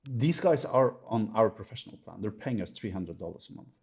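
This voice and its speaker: A man presenting an unscripted software demo in an emphatic, halting way, thinking aloud as he goes. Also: on the low side, lively intonation, muffled recording. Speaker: company presenter comparing products. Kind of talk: unscripted screen-recorded product demo commentary.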